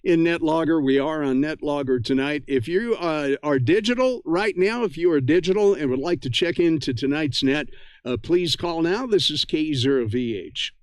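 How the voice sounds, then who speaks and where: A man casually hosting a live ham radio net, friendly and effusively thankful, with halting, filler-heavy speech. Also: a little high, no echo.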